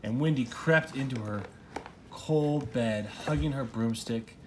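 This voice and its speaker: A man's voice: medium pitch, unhurried. A father reading a storybook aloud in narration, recorded at home. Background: household noise, young child interjecting.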